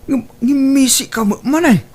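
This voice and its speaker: A woman playing an eager, fickle young man with a hesitant, excitable manner in an Ilocano comedy drama. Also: high-pitched, moderate pace, lightly acted. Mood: concerned. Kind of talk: Ilocano radio-style comedy drama acting.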